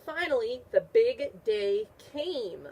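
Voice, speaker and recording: Animated teacher, a woman reading to children. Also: a little high, slow pace, breathy edge. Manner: animated, repeats questions and answers in a teacherly way; encouraging, praising the listener. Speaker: teacher reading a picture book aloud to a class. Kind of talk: read-aloud for young children with comprehension questions.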